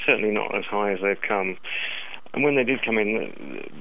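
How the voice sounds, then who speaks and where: A man giving market commentary as an analyst in a phone interview, halting and repeating words, over a dull telephone line. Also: on the low side, big pitch swings, gravelly. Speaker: currency analyst, interview guest.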